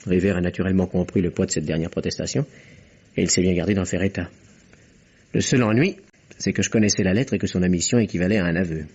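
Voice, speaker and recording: A man's voice: deep voice, fairly even intonation, fast talker, a little mellow, husky. A man playing a shrewd, composed investigator in a French radio mystery drama, explaining things in an assured, matter-of-fact way. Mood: composed, explanatory. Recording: muffled lo-fi vintage radio recording.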